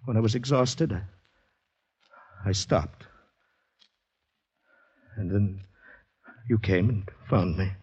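An actor playing a distraught, haunted man in a 1940s radio drama, telling his story in breathless, halting dramatic phrases. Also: fairly low pitch, a little mellow, husky, full character voice. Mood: shaken. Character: distraught haunted man recounting finding a murdered woman. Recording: muffled old radio broadcast recording.

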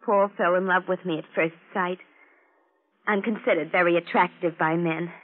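A woman's voice: fairly low pitch, steady tone, normal speed, natural voice. A woman playing a naive, vain young siren who mixes slang with stilted refinement in a 1940s radio drama. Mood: vain, artless. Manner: gushing slang mixed with stilted genteel phrases. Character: naive, vain working-class femme fatale putting on refinement. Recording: old lo-fi radio drama recording.

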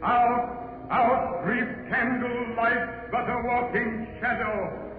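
An actor playing a grand, solemn orator in a 1930s radio drama, theatrical and declamatory. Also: male voice, very high-pitched, little pitch movement, slow pace. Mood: tragic, theatrical. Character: imagined grown son as grand orator and professional man. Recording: tinny 1930s radio broadcast with music.

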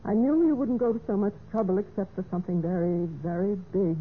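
An actress playing a betrayed, wistful but resolute wife in a measured, theatrical golden-age radio mystery style. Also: female voice, mid-range voice, expressive, lightly acted. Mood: cool, matter-of-fact. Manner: measured, deliberate, theatrical delivery. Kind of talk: 1940s-50s radio mystery acting. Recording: tinny, noisy old radio recording.

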